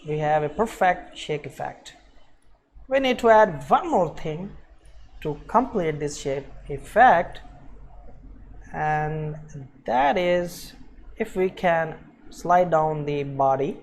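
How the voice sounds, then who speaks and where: A man presenting an unscripted coding screencast, thinking aloud in a halting way as he works. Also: a little high, lively intonation, slight background noise. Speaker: programming tutorial presenter.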